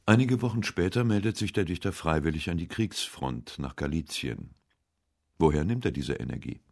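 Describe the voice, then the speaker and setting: Male narrator for a literary radio documentary: extremely deep voice, measured and serious delivery. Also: expressive, vocal fry.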